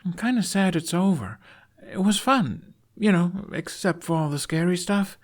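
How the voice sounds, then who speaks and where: A man's voice: high voice, moderate pace, pitched above the performer's own voice, lightly acted. A man voicing an earnest, slightly sheepish young boy in an audiobook story. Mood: wistful. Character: young boy, eager, sheepish, trusting apprentice of magic. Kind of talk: fan fiction audiobook character voice.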